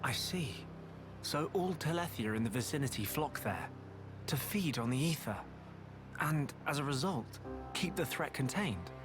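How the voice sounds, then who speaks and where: A man's voice: mid-range voice, lively intonation, unhurried, close to an everyday voice. An actor playing an earnest, helpful young hero in a video game, sincere and polite in his delivery. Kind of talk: video game cutscene acting. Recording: game music behind the voice.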